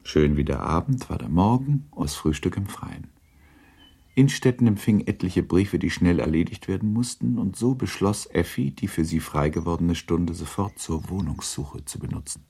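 An actor as the sober narrator of a classic novel in a radio play, measured and detached, with a gravelly voice. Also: male voice, sits low, dark tone, full character voice. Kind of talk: literary scripted narration.

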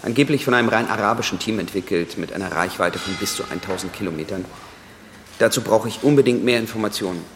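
A man acting a businesslike secret agent in a radio play. His low voice rings in a reverberant room, with clear ambient noise behind it. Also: quick pace, natural voice. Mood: businesslike, brisk. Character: businesslike male journalist and intelligence agent handler.

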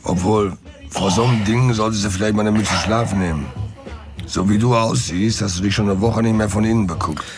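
An actor playing a wry, streetwise accomplice in crime, dry and colloquial, in a German radio drama. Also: male voice, fairly low pitch, a little fast, close to an everyday voice. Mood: wry, companionable. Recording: music behind the voice. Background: music.

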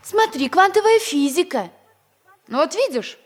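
High-voiced bookish student girl. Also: very animated, a little slow, noisy background, heavily acted. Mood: matter-of-fact. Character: bookish young female student, brisk, unflappable, evasive. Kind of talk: 1960s radio comedy play acting.

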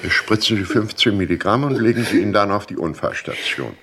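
An actor playing a composed, authoritative senior hospital doctor in a radio crime drama, matter-of-fact and commanding. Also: male voice, on the low side, brisk, pushed and bright, a little hiss or hum. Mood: firm, calming.

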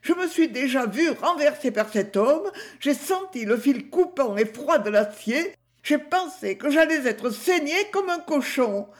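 A woman's voice: high-pitched, animated, measured pace, pitched above the performer's own voice. A man voicing a frightened, plump woman butcher who dramatically retells being threatened with a knife. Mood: shaken, dramatic. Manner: breathless, overdramatic retelling.

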